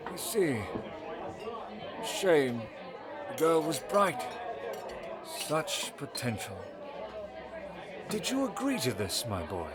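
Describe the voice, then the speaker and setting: A genial middle-aged father played by a man talks in a high, swooping voice, folksy and doting toward his grown son. It is an audio drama scene with a crowd murmuring behind. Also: big pitch swings, slow pace, long pauses. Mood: dismayed, wistful.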